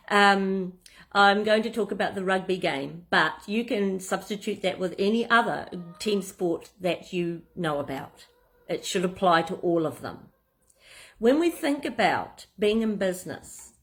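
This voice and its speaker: A woman from New Zealand with a clear Kiwi accent talks through a business tip. Her delivery is loose and chatty, full of "you know" and small restarts, like a coach thinking aloud. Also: medium pitch. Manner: relaxed and conversational, with frequent fillers and restarts. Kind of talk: talking-head business tips.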